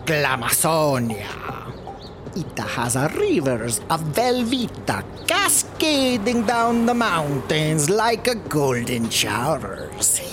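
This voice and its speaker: A woman's voice: low-pitched, very animated, takes it slowly, over music, full character voice. A woman playing a flamboyant, melodramatic alien with broken English in a sci-fi comedy audio drama. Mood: rapturous.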